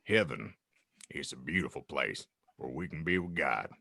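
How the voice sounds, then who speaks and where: A man voicing a kind, wise giant who speaks slowly, gently and solemnly in an audiobook parody. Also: very deep voice, animated, vocal fry, breathy edge, no room sound, studio quality, pitched below the performer's own voice, exaggerated voice. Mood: wise, gentle.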